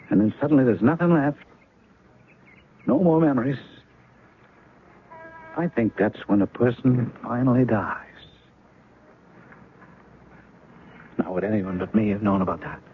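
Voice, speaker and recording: A husky, guarded ex-husband in an old radio mystery, tinny broadcast sound. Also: male voice, medium pitch. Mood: pleading. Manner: guarded, urgent, suspicious. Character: wary man claiming to be her ex-husband. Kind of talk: 1970s radio drama acting.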